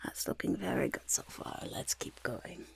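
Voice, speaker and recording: A woman voicing a fussing, delighted goblin potion-maker in a soft-spoken ASMR roleplay, muttering and repeating words in a creaky, breathy half-whisper. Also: moderate pace, close to an everyday voice. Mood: pleased, delighted.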